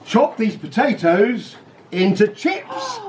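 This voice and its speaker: A man's voice: very high-pitched, huge pitch swings, slow pace, noisy background, voice pushed up, exaggerated voice. A man playing a fussy, always-hungry king in an outdoor storybook performance, making grand, demanding pronouncements. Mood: kindly. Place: back garden outdoors.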